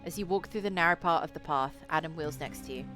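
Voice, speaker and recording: A woman streamer with a low voice reading a visual novel aloud, dropping in casual, sweary asides. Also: fast talker, music in the background. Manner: sweary, excitable reactions in asides.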